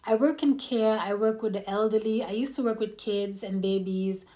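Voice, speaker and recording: A woman's voice: mid-range voice, steady tone, moderate pace, warm tone. A young woman from Haiti, a vlogger chatting to camera in a casual, open and personal way, a little nervous, with small laughs. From Haiti, raised in the Caribbean. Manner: nervous, by her own account. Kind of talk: casual get-to-know-me chat to camera.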